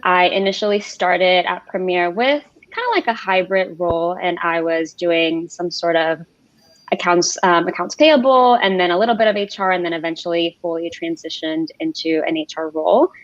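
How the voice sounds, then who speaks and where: A woman's voice: on the low side, no echo. A woman from Puerto Rico, a guest talking about her HR work in a matter-of-fact way. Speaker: HR generalist guest. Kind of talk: casual conversation. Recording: dry, dull lo-fi mic.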